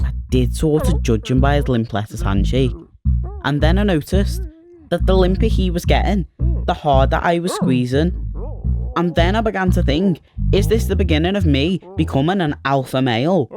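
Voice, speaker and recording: A man in his twenties speaks with a Liverpool accent, his voice sitting a little high for a man. He narrates in a chatty, self-deprecating, wry way. Clear music plays underneath his narration. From Liverpool, England. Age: 20s. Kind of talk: scripted narration for an animated short.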